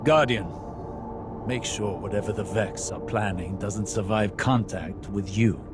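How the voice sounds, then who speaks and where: An actor playing an older scholar-warrior mentor in a video game, formal, articulate and wry. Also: male voice, lowish voice, a little slow, natural voice. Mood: grave, wry. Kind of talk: video game mission dialogue. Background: clear music.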